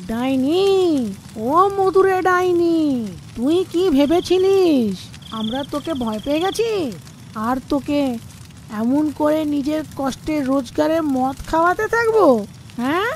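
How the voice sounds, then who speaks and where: A woman's voice: high voice, animated, a little slow, a little mellow, a little hiss or hum. A woman voicing an indignant, emphatic villager in a cartoon fairy tale. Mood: taunting, triumphant. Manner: emphatic, taunting. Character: indignant, vengeful adult villager.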